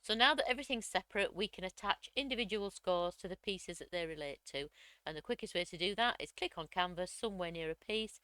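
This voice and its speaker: A woman, slightly croaky from a cold, chattily walking through a crafting software tutorial step by step. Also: on the low side, no room sound. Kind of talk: screen-recorded software tutorial.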